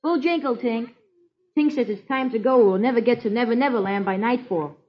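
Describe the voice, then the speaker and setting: Eager storybook boy: bright-spirited, high pitch, brisk, old radio skit. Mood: cheerful. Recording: dull vintage radio recording.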